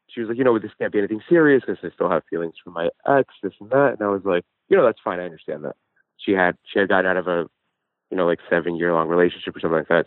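A man's voice: deep voice, dry recording. A man calling in to a show, talking casually, hesitantly and with swearing about his own troubles over a phone line. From New Jersey. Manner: hesitant, profane. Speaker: phone-in caller. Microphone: phone call. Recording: muffled band-limited phone line.

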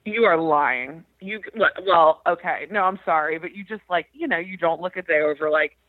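A woman's voice: deep voice, moderate pace, no room sound. A woman in her thirties talking candidly and chattily, with self-deprecating humour, as a caller over a phone line. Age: 30s. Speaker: caller seeking advice; education professional. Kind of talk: candid personal conversation. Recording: thin, tinny phone call.

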